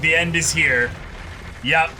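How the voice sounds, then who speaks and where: A man's voice: fairly high pitch, slow, bright, forceful tone, low-quality recording. A man giving casual, exclamation-heavy live reaction commentary to an anime episode, swearing freely. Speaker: reaction show host. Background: show soundtrack music.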